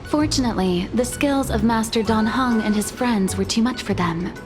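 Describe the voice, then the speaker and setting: A woman acts out a respectful attendant from a video game. Her voice is on the high side, and she speaks with polite, formal composure. Music is clearly present underneath. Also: normal speed, close to an everyday voice.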